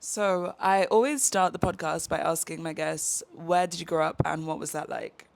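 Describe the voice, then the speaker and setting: A woman hosting a podcast, reading a measured scripted introduction and then interviewing a guest in a studio. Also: fairly low pitch, steady tone, gravelly. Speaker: podcast host. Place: artist's studio. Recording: music playing behind the voice.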